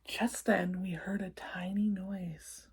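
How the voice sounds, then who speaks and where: A woman's voice: mid-range voice, little pitch movement, slow pace, darkish tone, breathy edge. A woman reading a children's story aloud expressively, adding chatty asides for young listeners.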